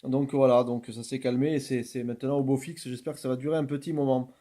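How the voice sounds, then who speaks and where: A man's voice: medium pitch, flat delivery, quick pace, mellow. A man making radio contact, courteous, with procedural call-outs and stock phrases. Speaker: amateur radio operator. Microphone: radio's original microphone.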